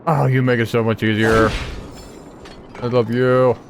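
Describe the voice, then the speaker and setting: A man's voice: medium pitch, flat delivery, unhurried, slight background noise. A man giving casual game commentary, thinking aloud and muttering playful asides to himself as he plays. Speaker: gamer streaming a playthrough. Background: game music.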